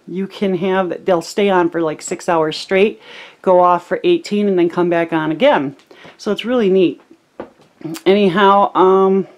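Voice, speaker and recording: A woman casually narrating a tour of her small cabin, filming with a handheld camera, chatty and relaxed with lots of ums. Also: fairly low pitch. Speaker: homesteading vlogger giving a home tour.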